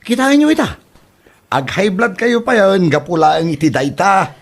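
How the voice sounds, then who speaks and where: An exasperated grown son played by a man in a comedy radio play. His fairly high voice rises and falls a lot as he earnestly pleads and reasons. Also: expressive, a little slow, gravelly, exaggerated voice. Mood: worried, reproachful. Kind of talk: Ilocano comedy drama acting.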